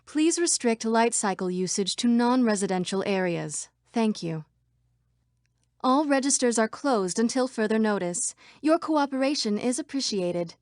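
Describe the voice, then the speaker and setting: Female program from a sci-fi video game, high voice, super animated, dry studio sound. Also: measured pace, no room sound. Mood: calm official announcement. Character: female computer program citizens, by turns frightened, defiant or official. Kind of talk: scripted video game voice lines.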